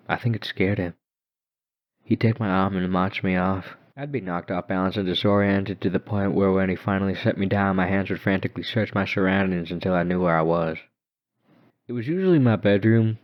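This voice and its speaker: A man with a very low voice tells a horror story in the first person, delivered as dramatic narration. The recording sounds dull, with the top end missing.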